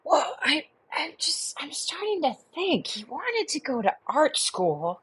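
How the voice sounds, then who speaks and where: A woman playing an anxious, prudish, suspicious caller to a comic advice show, speaking softly and hesitantly with earnest, dramatic pauses. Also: high voice, sing-song, dry recording, full character voice, rough recording. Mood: anxious, prim. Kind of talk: improvised comic call-in radio show.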